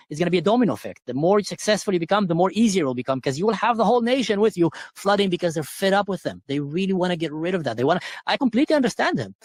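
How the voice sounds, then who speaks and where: Fast forceful male panelist. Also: high voice, no echo, clean studio sound. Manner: forceful, argumentative. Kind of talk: opinionated panel talk.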